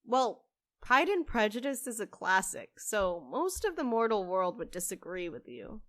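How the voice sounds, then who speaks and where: A woman's voice: on the high side, big pitch swings, normal speed, fairly bright tone, dry recording. A woman playing an earnest, hopeful young witch who runs a cafe, in a conversational audio drama style. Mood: upbeat.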